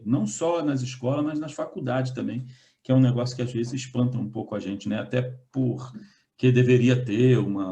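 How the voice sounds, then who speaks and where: A man's voice: mid-range voice, gravelly, dark tone. A man with a Brazilian accent hosting a casual interview about language over a video call, chatty and hesitant, trailing off and restarting often. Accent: Brazilian Portuguese. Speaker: host, linguist.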